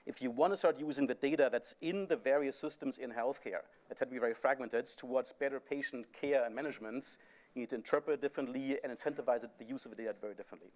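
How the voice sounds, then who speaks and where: A man's voice: medium pitch, quick pace. A man with a European accent, speaking as a panelist on a conference stage, explaining at length with frequent fillers. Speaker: panelist, insurance company CEO.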